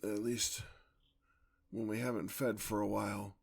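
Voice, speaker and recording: A man playing a weary, tender, courtly male vampire in an ASMR roleplay, with a husky, gravelly voice and formal, old-fashioned speech. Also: fairly low pitch, little pitch movement, a little slow, heavily acted.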